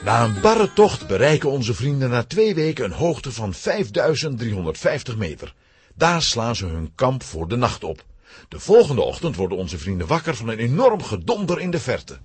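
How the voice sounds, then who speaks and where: A male narrator of a children's audio story, very husky and hugely animated in pitch. He tells the scripted tale dramatically, in a dry recording. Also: moderate pace, dry recording, soft background music. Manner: dramatic, highly expressive.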